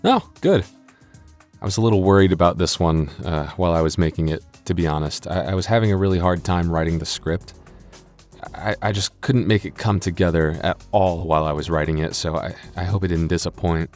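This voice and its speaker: Casting: male audio creator, low-pitched, casual and joking outro delivery, hesitant, with music underneath. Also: steady tone, darkish tone. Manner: halting, self-deprecating, joking. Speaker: audio roleplay creator and voice actor. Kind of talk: casual comedic outro to listeners.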